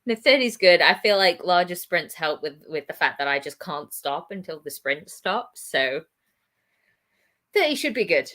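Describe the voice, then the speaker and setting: A woman with a gravelly voice, co-hosting a reading livestream in chatty, self-deprecating talk. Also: lowish voice, sing-song, moderate pace, pushed and bright. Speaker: readathon co-host. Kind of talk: casual livestream chat.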